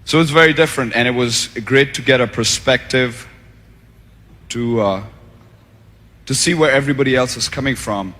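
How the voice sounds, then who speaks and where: A man from Pakistan answering on stage at a seminar, hesitant with pauses and fillers. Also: mid-range voice, sharp tone. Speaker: seminar participant. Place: large seminar hall. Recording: reverberant hall.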